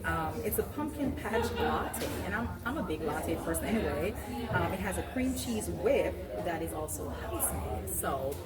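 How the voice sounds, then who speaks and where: A woman's voice: lowish voice, expressive, moderate pace. A woman hosting a talk show in a casual, chatty interview style, often with laughter in her talk. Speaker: talk show and podcast host. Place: coffee house. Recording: echoey, distant mic in noisy crowded room. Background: crowd chatter.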